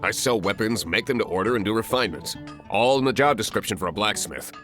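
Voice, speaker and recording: A man playing a gruff, busy, no-nonsense blacksmith in a video game, speaking curtly. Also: on the low side, fast, fairly bright tone, soft background music, close to an everyday voice. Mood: gruff, businesslike. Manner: curt, gruff.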